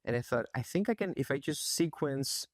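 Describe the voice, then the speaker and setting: A young man from Spain, an artist being interviewed, speaking in a soft, thoughtful way with many pauses and fillers. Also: mid-range voice, lively intonation, no room sound, clean studio sound. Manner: soft-spoken, thoughtful, hesitant, frequent fillers. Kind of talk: casual interview. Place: art gallery.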